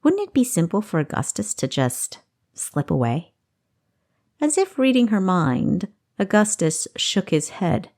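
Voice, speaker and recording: Slow, low-voiced, expressive woman storyteller. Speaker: audiobook narrator. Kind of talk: scripted fantasy story narration.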